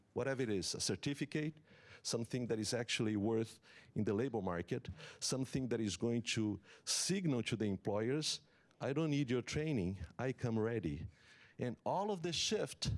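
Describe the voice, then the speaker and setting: Man, English, conference keynote, explanatory, halting restarts. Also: mid-range voice. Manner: earnest, explanatory, with many fillers and restarts. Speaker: economist giving a summit talk.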